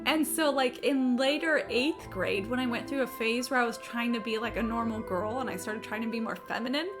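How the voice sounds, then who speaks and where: A woman's voice: on the high side, fairly quick, music in the background. A woman streamer chatting casually while gaming, rambling and candid, slangy and playfully sarcastic.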